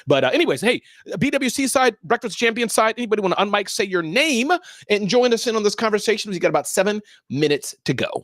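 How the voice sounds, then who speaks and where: A man hosting a live online business show, energetically shouting out viewers and guests by name. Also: sits high, animated, fast, dry recording, clean studio sound. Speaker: host.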